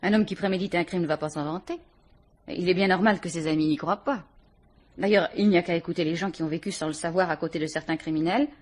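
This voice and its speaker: A woman plays a guarded, defiant widow under police suspicion in an old radio mystery. Her voice sits low and a little husky, and her replies stay cool and sarcastic. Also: quick pace, lightly acted. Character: cool, defiant female murder suspect, a widow. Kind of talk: vintage French radio drama acting.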